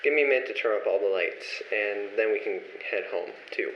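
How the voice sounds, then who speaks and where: A man playing a kind, earnest young pastor in an amateur old-time radio play, speaking gently and with measured care. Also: lowish voice, natural voice. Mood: warm, contented. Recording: echoey, muffled, noisy amateur recording.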